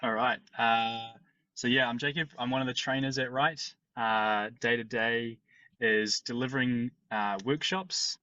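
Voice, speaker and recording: A man's voice: mid-range voice, fairly even intonation, measured pace, sharp tone, dry recording. A man who works as a workshop trainer, introducing himself on a video call in a plain, matter-of-fact way. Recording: dull, dry video-call audio.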